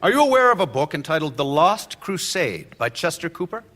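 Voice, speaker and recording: An actor playing a sharp, relentless defense lawyer cross-examining a witness in a courtroom radio drama. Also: male voice, on the high side, very animated, fairly quick. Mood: pressing.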